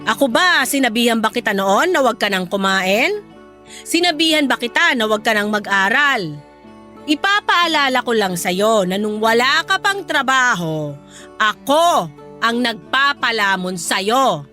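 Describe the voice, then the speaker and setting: A woman's voice: a little high, animated, measured pace, bright, forceful tone, soft background music. An actress playing a domineering, resentful middle-aged Filipino mother who scolds and accuses in a radio drama. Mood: indignant, reproachful. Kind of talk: emotional radio drama acting.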